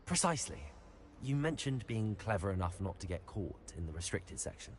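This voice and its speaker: An actor playing a polite, earnest young wizard student in a video game. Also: male voice, on the low side, sing-song, normal speed, slight background noise. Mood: earnest, curious. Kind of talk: video game character acting.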